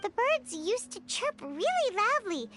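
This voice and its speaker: A woman voicing a tiny, childlike fairy companion in a video game, with a squeaky, naive, excitable delivery. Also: a little slow, background noise, heavily acted. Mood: curious. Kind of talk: video game character acting.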